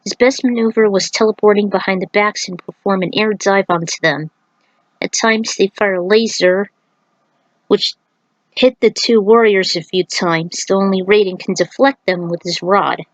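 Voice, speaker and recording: A woman's voice: medium pitch, fairly even intonation, moderate pace, no room sound, poor audio. A woman reading an action fantasy story aloud as a narrator, in a dramatic scripted style.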